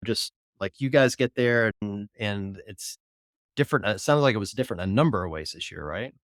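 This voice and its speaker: A man's voice: on the low side, expressive, brisk, no room sound, studio quality. A man hosting a podcast, chatting in a rambling, laid-back way with his guest. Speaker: podcast host. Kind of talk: casual chat.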